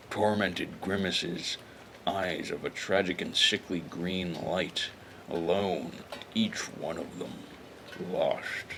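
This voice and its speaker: A man acting a hardboiled noir private eye with a very low voice. He is brooding and deadpan, always ready with a dry wisecrack, as in a pulp audio drama. Clear background noise and ambience surround him. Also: heavily acted.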